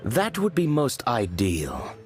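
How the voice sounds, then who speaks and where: A man playing a cold, menacing foreign agent in a video game, speaking formally in measured, threatening tones. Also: mid-range voice, wildly swooping pitch, music in the background. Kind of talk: animated video game acting.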